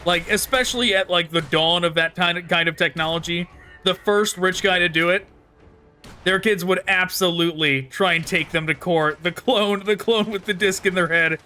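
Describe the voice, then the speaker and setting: Guy streaming a game, kinda high bright voice, rambles along casually. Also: moderate pace, faint music behind. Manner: rambling, musing. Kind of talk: casual gameplay commentary.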